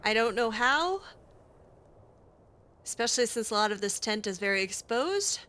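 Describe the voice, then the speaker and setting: A woman's voice: a little high, big pitch swings, normal speed, sharp tone. A woman doing in-character improvised narration of a video game, musing aloud to herself as she goes. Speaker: let's play host roleplaying her character.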